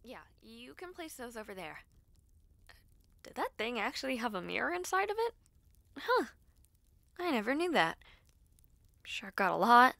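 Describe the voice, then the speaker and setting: An earnest, nervous schoolgirl spellcaster, played by a woman, with a bright voice and an unhurried pace. She talks haltingly and close to the listener, as in an intimate audio roleplay, in a very dry recording. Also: fairly high pitch, very animated, creaky, no echo, exaggerated voice. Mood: busy, casual. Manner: hesitant, halting, soft-spoken asides. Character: earnest, nervous school-age girl dabbling in ritual spells.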